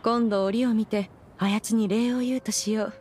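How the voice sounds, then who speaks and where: A woman's voice: a little high, steady tone, moderate pace, a little mellow, a little hiss or hum, natural voice. A woman voicing a proud, regal ancient immortal in an anime game, a stern but caring master with stately, archaic diction.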